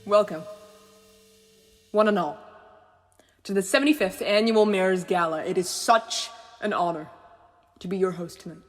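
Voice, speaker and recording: A woman's voice: medium pitch, moderate pace, long pauses, faint music behind, close to an everyday voice. A woman playing a gracious, ceremonious host addressing a grand gala in a radio play. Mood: grand, welcoming. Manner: ceremonious, theatrical. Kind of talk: radio play acting, formal speech. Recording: long hall reverb.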